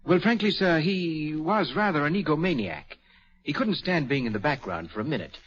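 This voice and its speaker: An actor playing a polite, reluctant yet outspoken valet in a 1940s radio mystery, in a deferential manner. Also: male voice, fairly high pitch, lively intonation, moderate pace, natural voice. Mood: frank. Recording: muffled vintage 1940s radio recording.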